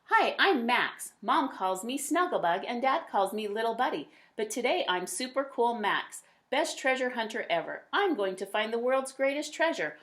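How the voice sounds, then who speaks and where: A woman's voice: on the high side, very animated, moderate pace, few pauses, a bit bright, pitched above the performer's own voice. A woman voicing an imaginative, boastful little boy from a storybook, declaring his dress-up adventures emphatically. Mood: proud, eager. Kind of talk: children's storybook character reading.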